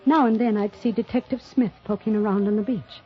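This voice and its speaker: An actress playing a poised, secretive mystery novelist, guarded and tense, in 1950s radio drama style. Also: female voice, medium pitch, fairly even intonation, brisk, close to an everyday voice. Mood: tense, suspenseful. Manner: guarded in dialogue, suspenseful in narration. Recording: muffled old radio recording, faint music.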